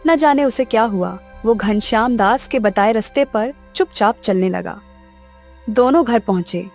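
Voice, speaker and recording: A woman's voice: a little high, measured pace, darkish tone, soft background music. A woman narrating a scripted children's moral story.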